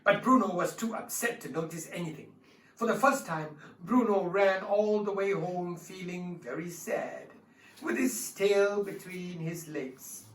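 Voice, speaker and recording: A man telling a dramatised story for children, expressive and speaking directly to his listeners. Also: high voice, a little slow. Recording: roomy, distant mic with faint music.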